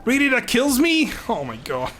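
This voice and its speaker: A man's voice: very high voice, huge pitch swings, moderate pace, a bit bright, soft background music. A man hosting a live game stream, chatting casually and jokingly with his viewers and often laughing. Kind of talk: casual live gaming commentary and chat.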